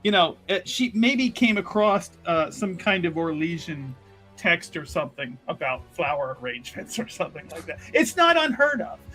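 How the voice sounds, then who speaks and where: A man's voice: a little high, big pitch swings, moderate pace, pushed and bright, faint music behind, low-quality recording. A man playing a tabletop game, describing his character's actions in a casual, chatty, rambling improvised way. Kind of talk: casual improvised roleplay talk.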